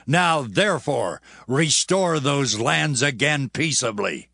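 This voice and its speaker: A man playing an aggrieved, imperious enemy king in a dramatized Bible story, with deliberate, drawn-out demands. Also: mid-range voice, expressive, gravelly, pushed and bright, no echo, studio quality, heavily acted. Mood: aggrieved, commanding.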